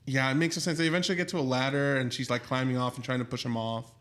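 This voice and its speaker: Young man, podcast co-host, relaxed casual recap, fumbling for words, mid pitch. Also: rapid-fire. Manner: relaxed, hesitant, many fillers and restarts while recapping. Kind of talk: casual chat.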